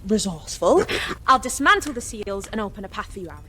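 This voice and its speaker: Woman as an animated, bookish fantasy lady. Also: mid-range voice, fast, noisy background, natural voice. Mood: resolute. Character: earnest, bookish, resourceful fantasy lady scholar. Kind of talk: video game character acting.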